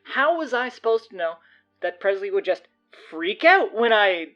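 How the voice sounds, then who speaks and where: A woman's voice: mid-range voice, very animated, normal speed, a bit bright, highs cut off, soft background music. An actress voicing a teenage boy who gets flustered and defensive and stammers. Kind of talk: audio drama acting.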